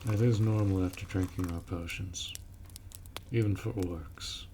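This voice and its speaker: Man playing a deep, slow-talking orc warrior. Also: fairly even intonation, noisy background. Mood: curious, gentle. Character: gruff, dutiful male orc warrior, blunt protector. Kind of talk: scripted roleplay audio drama, addressing the listener.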